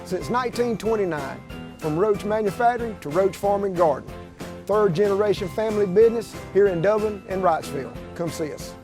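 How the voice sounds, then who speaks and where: A man's voice: high voice, animated, a little fast, over music. A man reading a scripted local store ad in a folksy way, listing product after product. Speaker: radio-style ad announcer.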